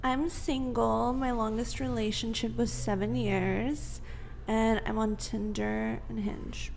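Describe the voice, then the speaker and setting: A woman's voice: a little high, steady tone, slow pace, vocal fry, darkish tone, a little hiss or hum. A young woman, a podcast guest, introducing herself in an upbeat promotional way.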